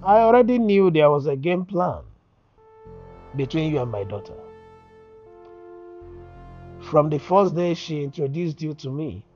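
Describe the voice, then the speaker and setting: A man playing a stern, shrewd father in a screen drama, commanding and deliberate. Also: fairly high pitch, big pitch swings, vocal fry, mellow, highs cut off, faint music behind, heavily acted. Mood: stern, knowing. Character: stern, shrewd wealthy father confronting a schemer.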